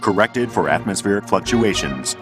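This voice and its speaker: A man delivering scripted documentary narration in a measured, deliberate manner. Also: lowish voice, animated. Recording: music under the voice. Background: background music.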